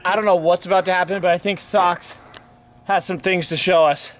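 A man's voice: high voice, steady tone, fairly quick. A young man hosting a night vlog in a stadium, talking playfully to viewers. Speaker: vlogger. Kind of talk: group vlog narration.

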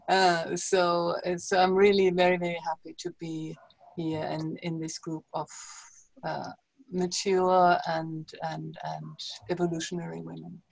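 A woman's voice: lowish voice, gravelly, darkish tone, no room sound, rough recording. A woman introducing herself on a video call in a rambling way, laughing easily. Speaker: participant in a women's circle.

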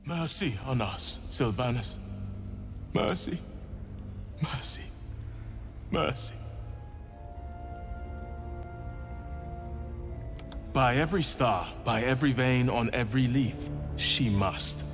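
A man's voice: mid-range voice, unhurried, long pauses, fairly bright tone. An actor playing an anguished, devout druid man in a fantasy game, earnest, pleading and solemn. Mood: praying, distressed. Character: anguished, devout male druid, loyal to absent master. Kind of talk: dramatic fantasy game acting. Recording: long reverb with music behind.

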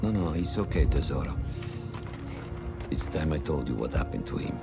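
An actor playing an aging Italian war veteran and father, telling his story in a measured, heartfelt way for a video game. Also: a bass voice, lively intonation, gravelly, loud music behind the voice, full character voice. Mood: tender, wistful.

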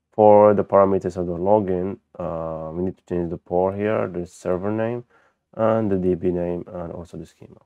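A man who speaks as a gives an unscripted software walkthrough, haltingly thinking aloud as he demonstrates. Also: low-pitched, no room sound. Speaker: software tutorial presenter. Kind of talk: unscripted screen-recorded tutorial walkthrough. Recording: dull, lo-fi close microphone.

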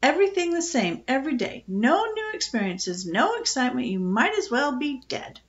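A woman's voice: high voice, big pitch swings, normal speed, lo-fi sound, pitched above the performer's own voice. A woman voicing a bossy, teasing female parrot in a children's storybook, with a sardonic, scolding manner.

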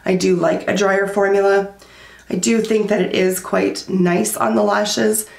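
A woman in her thirties giving a candid, chatty beauty product review at home, her voice going hoarse. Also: medium pitch, little pitch movement, unhurried, darkish tone, roomy sound. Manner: chatty and candid, many likes and fillers. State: losing her voice. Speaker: beauty vlogger. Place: small room at home, indoors.

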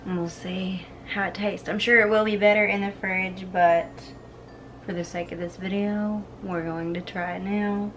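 A woman's voice: medium pitch, flat delivery, normal speed, background noise. Chatty young woman cooking at home. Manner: chatty, upbeat, talks while cooking. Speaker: cooking vlogger. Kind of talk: casual cooking video. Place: home kitchen, indoors.

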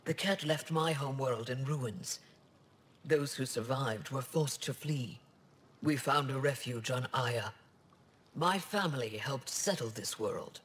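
An actor playing an earnest, dignified alien official in a video game, speaking in a measured, formal way. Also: male voice, medium pitch, a bit bright, lightly acted.

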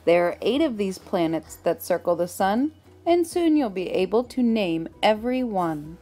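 A woman reading a rhyming children's book aloud with a measured, rhythmic delivery. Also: medium pitch, lively intonation, mellow. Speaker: storybook reader. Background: faint music.

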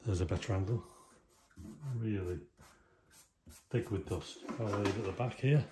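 A man giving casual, hands-on commentary in short, unhurried remarks as he works on equipment. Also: lowish voice. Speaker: host of a hands-on vintage electronics video. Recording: clear background noise with clicking.

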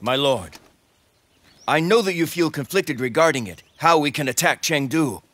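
An actor playing a loyal, earnest young warrior in a video game cutscene, speaking in a solemn, formal, declamatory way. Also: male voice, fairly high pitch, lively intonation, moderate pace, fairly bright tone. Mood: earnest, reflective. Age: young adult. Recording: background music under dialogue.

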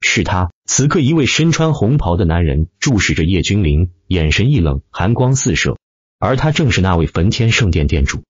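Husky, animated male audiobook narrator. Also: low-pitched, normal speed, dry recording, lo-fi sound. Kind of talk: dramatic scripted web-novel narration.

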